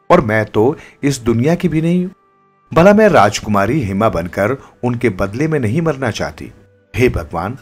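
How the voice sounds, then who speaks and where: A man's voice: medium pitch, slightly rough. A man voicing a young, uneasy princess in an earnest, pleading manner for a moral tale. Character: young princess, secretly frightened and reluctant to marry.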